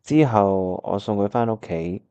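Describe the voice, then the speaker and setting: A man's voice: fairly low pitch, a little slow, warm tone, clear, resonant tone, dry recording, lo-fi sound, natural voice. A man with a Hong Kong Cantonese accent acting an earnest, lovelorn young pianist who narrates his own story in a radio drama. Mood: confused, wistful. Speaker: voice actor, lead and narrator.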